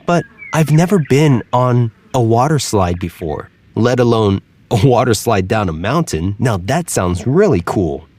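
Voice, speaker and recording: A man's voice: medium pitch, big pitch swings, unhurried, a little mellow, no echo. A man voicing a curious, easygoing alligator in a storybook tale, friendly and laid-back. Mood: eager.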